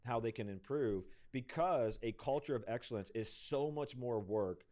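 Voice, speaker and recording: A middle-aged man with a hoarse voice, a pastor co-hosting a casual talk, thinking aloud with frequent restarts. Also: mid-range voice, moderate pace, darkish tone. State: losing his voice. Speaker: pastor and podcast co-host. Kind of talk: casual conversational discussion.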